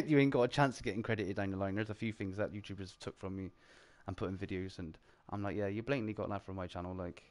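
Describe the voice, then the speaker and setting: A man with a British accent, hosting a casual round-table chat about games, talking in quick bursts, often laughing and filling with "like". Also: fairly low pitch. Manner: animated, chatty, laughs often, heavy filler "like". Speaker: streamer and show host.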